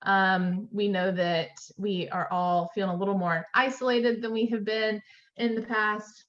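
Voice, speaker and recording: A woman's voice: mid-range voice, fairly even intonation, a little slow. A woman hosting an online educational tour over a video call, giving a welcoming introduction to the audience. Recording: dull, lo-fi video call audio.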